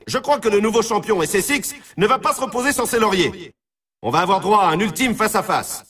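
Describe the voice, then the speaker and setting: An actor playing an excitable snowboard race announcer in a video game, emphatic and showy, heard slightly at a distance. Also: male voice, sits high, fairly quick, husky, full character voice. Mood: dramatic hype. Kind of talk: scripted video game sports commentary.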